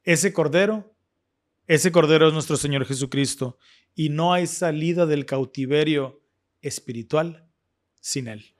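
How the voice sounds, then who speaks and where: A man's voice: fairly high pitch, a little slow, a little hiss or hum. A man hosting a scripture study discussion, speaking earnestly with fillers and restarts. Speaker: podcast host.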